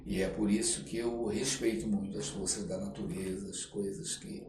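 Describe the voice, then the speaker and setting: An older Brazilian man shares his stories as an interview guest in a conversational, reflective manner. He is expressive and often seeks agreement as he goes. The recording is roomy, with him set back from the mic and faint noise underneath. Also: fairly low pitch, moderate pace, distant mic. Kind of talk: conversational storytelling.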